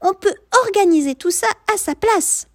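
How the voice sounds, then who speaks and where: A woman's voice: extremely high pitch, expressive, moderate pace, dry recording, clean studio sound, pitched above the performer's own voice. A woman voicing a melodramatic, tearful little girl in a children's story. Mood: eager. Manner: wailing, melodramatic.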